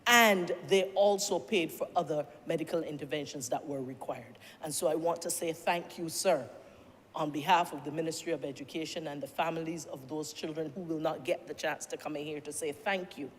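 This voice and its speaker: A middle-aged Barbadian minister speaks earnestly in parliament, with measured phrasing and rhetorical questions. The voice carries a long reverb from the large chamber, with a faint hum of noise behind it. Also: medium pitch, fairly bright tone. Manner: formal, earnest, deliberate, with repeated rhetorical questions. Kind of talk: parliamentary speech.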